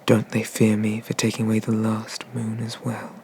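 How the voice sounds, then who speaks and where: Guy doing haughty old dragon god asmr, low n slow, formal, kinda flat, drops into whispers. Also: a little hiss or hum, lightly acted. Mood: haughty, brooding. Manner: slow, deliberate, formal speech with murmured, breathy whispers. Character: ancient, haughty male Eastern dragon god, condescending but merciful. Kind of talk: ASMR roleplay, scripted.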